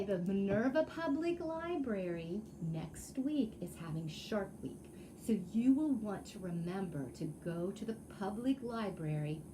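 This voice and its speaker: A woman's voice: medium pitch, background noise. A woman storytime reader reading aloud expressively to children, with chatty asides and questions to her listeners.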